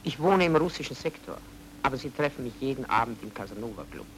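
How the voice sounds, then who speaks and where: A man playing an effusive, evasive Viennese baron, animated pitch. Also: quick pace, slight background noise, lightly acted, rough recording. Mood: guarded. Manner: courteous, effusive, theatrical, evasive. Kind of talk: radio drama acting.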